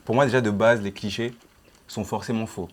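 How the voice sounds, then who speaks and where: A man in his twenties from Benin, a student speaking quickly and assuredly in a casual group discussion. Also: on the low side, fairly even intonation. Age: 20s. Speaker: engineering student guest.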